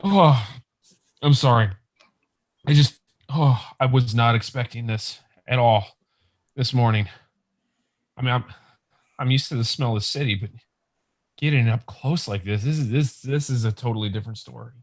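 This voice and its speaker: Casting: man acting nervous, chatty male apocalypse survivor, squeamish and stammering, medium pitch, comic table read. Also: moderate pace, long pauses, close to an everyday voice. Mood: queasy, anxious. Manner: jittery, stammering, rambling. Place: remote video call table read.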